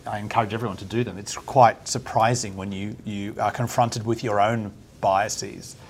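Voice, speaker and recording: A man's voice: on the low side, level tone, moderate pace, a little hiss or hum. A man speaking earnestly on a panel discussion, in stops and starts. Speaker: round table panelist.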